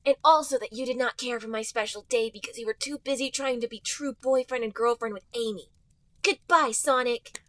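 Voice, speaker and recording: Woman as young male fox, high voice, hurt, earnest, reproachful, wordy, cartoon character, dry sound. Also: fairly even intonation, brisk, pushed and bright, dry recording.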